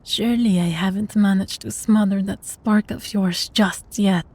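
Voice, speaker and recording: Casting: female actor for a sadistic vampire mistress, unhurried and darkly mellow, possessive and condescending, intimate audio roleplay. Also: medium pitch, steady tone, natural voice. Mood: commanding, teasing. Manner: slow, teasing, condescending, often dropping to a breathy whisper.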